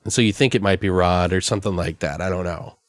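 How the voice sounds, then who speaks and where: Low-voiced man brainstorming in casual chat. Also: fast, dry recording. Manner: rambling, profane. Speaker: podcast host.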